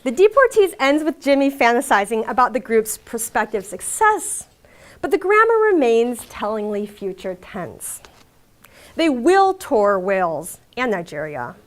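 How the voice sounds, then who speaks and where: A woman reading a prepared academic lecture on literature, measured and emphatic with the odd filler. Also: fairly high pitch. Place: lecture hall.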